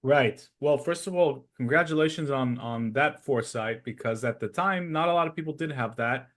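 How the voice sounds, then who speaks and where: A man hosting an interview podcast, explaining current affairs in a measured way with some hesitant pauses. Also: mid-range voice, a bit bright. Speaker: podcast host. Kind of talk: interview and news commentary.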